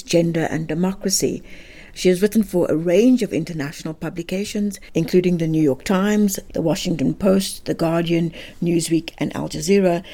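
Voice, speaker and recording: A woman's voice: on the low side, mellow. A woman hosting a podcast, reading a scripted introduction and then asking conversational questions. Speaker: podcast host. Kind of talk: podcast interviewing.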